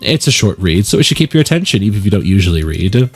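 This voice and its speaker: A man's voice: fairly low pitch, sing-song, fast talker, slightly rough, faint music behind, full character voice. A man voicing a shy, flustered, bookish teenage schoolgirl who speaks hesitantly in a visual novel. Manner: hesitant, trailing off. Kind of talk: visual novel character read-aloud.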